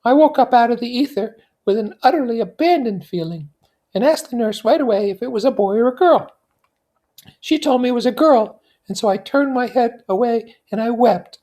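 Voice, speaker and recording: A man's voice: very high voice, moderate pace, dark tone, pitched above the performer's own voice. A man voicing a dramatic, cynical and flighty young society woman in a theatrical, gushing way from a classic novel. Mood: confiding, dramatic.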